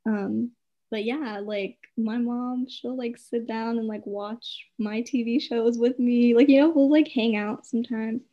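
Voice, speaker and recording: A woman's voice: a little high, fairly even intonation, measured pace. A young woman of Habesha family raised in the United States, chatting casually and filling her speech with "like". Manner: chatty, heavy use of "like". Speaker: conversation partner. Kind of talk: casual conversation. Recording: muffled, dull sound.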